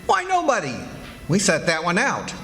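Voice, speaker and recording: A man's voice: sits high, huge pitch swings, moderate pace, bright, forceful tone, heavily acted. A man playing a boastful, folksy husband in a 1940s-style radio comedy, full of wisecracks and excuses. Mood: folksy bluster. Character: blustery, boastful, folksy husband who hoards junk. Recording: live stage recording with background noise.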